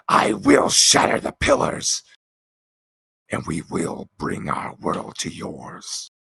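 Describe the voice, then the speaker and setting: A man voicing a menacing doglike villain leader with a raspy growl and grandiose manner in a tabletop game. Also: deep voice, wildly swooping pitch, bright, forceful tone, a little hiss or hum, pitched below the performer's own voice, full character voice. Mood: grudging, threatening. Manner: slow, grandiose.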